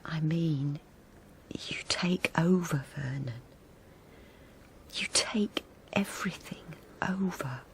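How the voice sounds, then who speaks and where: A woman acting in a radio drama as a middle-aged English wife, wistful and quietly defiant, halting and often dropping to a whisper. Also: low voice, slight background noise. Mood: hushed, hurt. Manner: halting, repeats phrases, often drops to a whisper. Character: weary long-married wife newly wistful and quietly defiant. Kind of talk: radio drama acting, intimate dialogue and narration.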